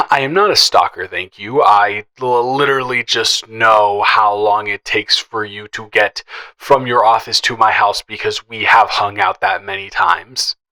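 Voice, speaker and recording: Guy playing a teasing best friend type, bright voice, kinda shy n stammery, flirty audio roleplay, chatty. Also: lowish voice, poor audio. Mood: nervous, friendly. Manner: playful, teasing, halting with stammers and chuckles. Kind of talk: improvised, conversational romantic audio roleplay.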